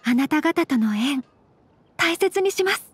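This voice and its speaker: Casting: refined young lady for an anime game, high-pitched, formal, gentle and composed. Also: expressive, measured pace, dry recording. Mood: earnest, composed. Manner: very formal honorific speech, composed and courteous. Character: polite, refined, gentle young noblewoman of fantasy Japan. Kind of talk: Japanese anime game voice acting.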